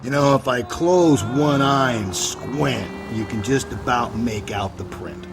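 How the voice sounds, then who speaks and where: A man's voice: medium pitch, measured pace, music in the background, close to an everyday voice. A man playing a cynical, hard-drinking veteran police detective in a crime video game, wry and world-weary. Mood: wry, musing. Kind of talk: conversational period crime game acting.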